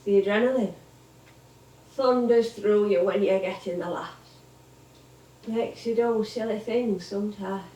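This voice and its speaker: Actress as chatty old northern english music hall lady, slow n high, echoey theatre. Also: steady tone, warm tone, natural voice. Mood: reminiscing. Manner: homely, chatty, wistful. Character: old, warm, chuckling music-hall comedienne. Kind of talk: stage monologue and dialogue acting. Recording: distant mic in echoey theatre.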